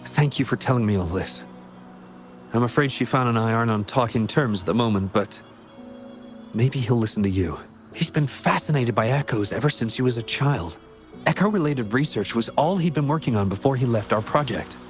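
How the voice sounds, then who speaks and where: Guy playing a young researcher in a video game, earnest and worried about his friend, medium pitch, music behind. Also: animated, moderate pace, natural voice. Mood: worried, pleading.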